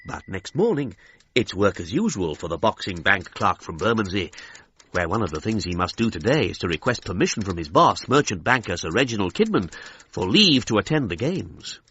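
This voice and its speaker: An actor playing an excitable 1930s British radio sports commentator, narrating with theatrical, plummy exclamations. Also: male voice, on the low side, moderate pace, husky, full character voice. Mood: brisk, jovial. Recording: dull radio sound, noisy crowd behind.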